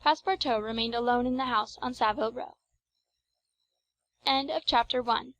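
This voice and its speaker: A woman reading scripted literary narration as an audiobook narrator, in a measured, formal storytelling manner. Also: on the high side, vocal fry, fairly bright tone, dry recording.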